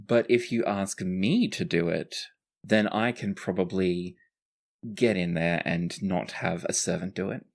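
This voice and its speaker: A tabletop player voicing an easygoing, protective male fighter in a fantasy game, plain-spoken and gently teasing. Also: low-pitched, steady tone, a little slow, creaky, voice pushed down.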